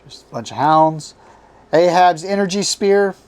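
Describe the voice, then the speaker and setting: A man hosting a casual card game unboxing, his voice strained and tiring as he reads card names aloud in short halting bursts. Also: sits high, clean tone. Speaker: board game channel host.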